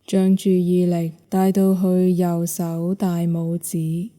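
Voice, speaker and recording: A woman with a Hong Kong Cantonese accent guides a yoga nidra meditation in a soft, measured, soothing way, leaving long pauses. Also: fairly low pitch, monotone.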